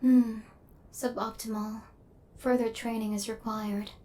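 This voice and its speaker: A woman voicing a female killer robot in an ASMR roleplay, speaking in formal, clinical, literal phrases with a stern yet softening temper. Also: on the high side, level tone, a little slow, natural voice. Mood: curious, deadpan.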